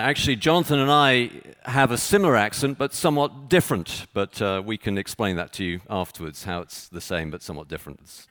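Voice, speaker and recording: A man from Texas with a Texan accent, preaching a sermon with measured, halting delivery and frequent pauses. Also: mid-range voice, a bit bright, dry recording. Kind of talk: sermon with scripture reading.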